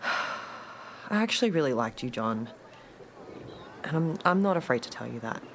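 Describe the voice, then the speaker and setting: A woman's voice: very deep voice, very animated, moderate pace, slight background noise, exaggerated voice. An actress playing an awkward, jilted woman in her late thirties, leaving hesitant, rambling, emotionally exposed voicemails in a radio drama. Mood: wounded, candid.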